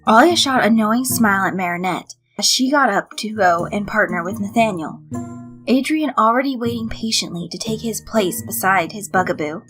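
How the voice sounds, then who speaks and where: Female audiobook-style reader, bright voice, narrating fiction over background music. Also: medium pitch, normal speed, low-quality recording. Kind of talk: scripted story narration.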